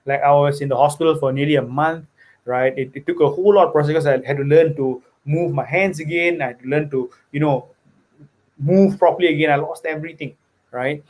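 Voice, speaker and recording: Earnest man, fairly high voice. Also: a little fast, a little mellow. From Singapore. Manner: earnest, peppers talk with "right?" and "you know". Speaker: guest investor. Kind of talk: personal story in conversation.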